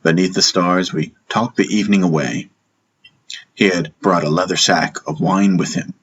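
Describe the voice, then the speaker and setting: A man narrating a first-person fantasy audiobook in a measured, dramatic storytelling style. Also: sits low, fairly even intonation, fairly bright tone, breathy edge, highs cut off.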